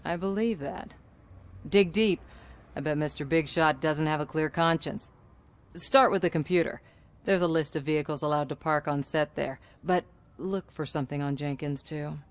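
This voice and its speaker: A sly boss lady in a game, low voice, assertive and manipulative. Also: moderate pace. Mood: sly, conspiring. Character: scheming, manipulative woman running a commercial shoot. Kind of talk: video game cutscene acting.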